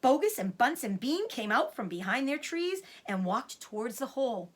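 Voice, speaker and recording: Animated woman reading a storybook aloud. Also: a little high, moderate pace. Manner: dramatic, suspenseful. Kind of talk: expressive children's storybook narration.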